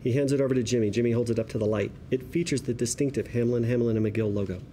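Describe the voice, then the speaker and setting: Man, scripted narration, stage directions, dark relaxed tone, medium pitch, slight room noise. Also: quick pace. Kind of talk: scripted narration at a table read.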